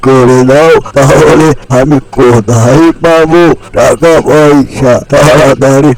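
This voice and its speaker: A man voicing a scolding old village granny in a cartoon fairy tale, with drawn-out, exclamatory phrasing. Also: medium pitch, steady tone, darkish tone, poor audio. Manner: slow, drawn-out, exclamatory delivery. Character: scolding old village granny guarding her tamarind tree.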